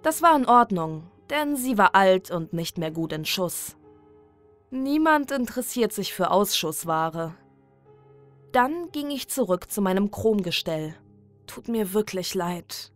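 A woman narrating a scripted first-person horror story in a measured, dramatic manner. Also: mid-range voice, sing-song, soft background music.

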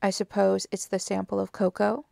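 A woman's voice: medium pitch, monotone, normal speed, creaky, dark tone, dry recording, studio quality. A woman voicing a detective's eager, puzzled male friend in an audiobook, earnest and questioning. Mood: curious. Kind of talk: audiobook character dialogue.

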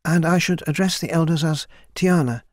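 A man's voice: fairly high pitch, normal speed, dry recording, studio quality, voice pushed up, lightly acted. A man voicing an earnest, slightly impatient young woman reciting lessons.

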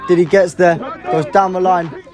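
A man giving live amateur football play-by-play, excitable and prone to raising his voice at big moments. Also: sits high, normal speed. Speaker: football match commentator. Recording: music and crowd noise behind, outdoors. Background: music and outdoor crowd sounds.

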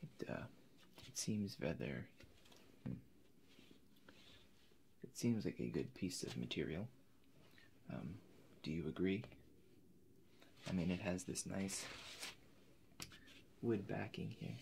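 A young man with a very low, creaky voice plays an eccentric painter in an ASMR roleplay. He speaks softly and haltingly, sometimes dropping to a whisper. There is clear noise behind him. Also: expressive. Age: 20s. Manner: hesitant, halting, slipping into whispers. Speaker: ASMR performer. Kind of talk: soft-spoken ASMR roleplay. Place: indoors in front of a forest backdrop.

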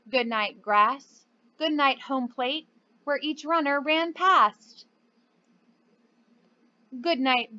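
A woman's voice: sits high, bright, forceful tone, muffled recording. A young woman, a teacher speaking to small children in a simple, encouraging way. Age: young adult. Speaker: preschool teacher. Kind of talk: children's lesson and storybook read-aloud.

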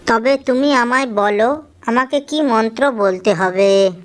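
Children's cartoon heroine, woman performer as a caring little female bird, high voice, earnest and emotive. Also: slow. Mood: anxious. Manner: earnest, emotive storybook delivery. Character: caring, earnest young female bird, two devoted sisters.

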